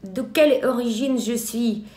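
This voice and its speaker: Female trainer, Ukrainian accent, higher pitch, hesitant live-stream delivery, roomy echo. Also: a little slow, mellow. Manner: hesitant, many filler sounds and restarts. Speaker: eyelash extension trainer. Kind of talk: live online workshop talk. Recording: echoey room.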